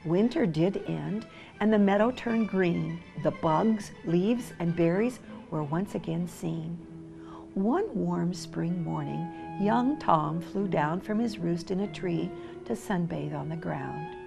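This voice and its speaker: A woman's voice: fairly low pitch, takes it slowly, gravelly, music in the background. A woman reading a rhyming children's storybook aloud, with theatrical, dramatic emphasis. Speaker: storybook reader.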